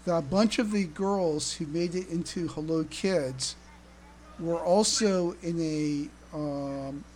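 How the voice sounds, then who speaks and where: A woman chatting casually as a fan podcast co-host, with a halting, stammering delivery. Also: lowish voice, a little mellow, rough recording. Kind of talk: casual fan chat with trivia.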